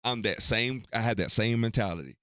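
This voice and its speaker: A man's voice: on the low side, fairly quick, a bit bright, clear, resonant tone, dry recording, clean studio sound. A man in his forties co-hosting a casual podcast, blunt and emphatic with stammered restarts. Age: 40s. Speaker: podcast co-host.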